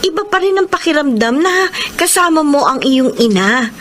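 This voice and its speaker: High, animated young Filipina friend. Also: female voice, moderate pace. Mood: wistful, earnest. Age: young adult. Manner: chiding, earnest advice-giving. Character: caring, frank young best friend, an orphan. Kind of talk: Filipino radio drama acting. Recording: faint noise and ambience.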